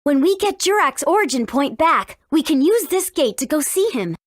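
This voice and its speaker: A woman's voice: high-pitched, very animated, normal speed, no room sound, studio quality. A woman voicing a confident, determined young heroine in a video game. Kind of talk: video game character acting.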